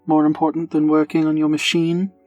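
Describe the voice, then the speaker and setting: An actor playing a reserved, clever young man in an audio drama, gently earnest, quiet and measured. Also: on the high side, completely flat delivery, dry recording, soft background music, close to an everyday voice. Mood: tentative, probing.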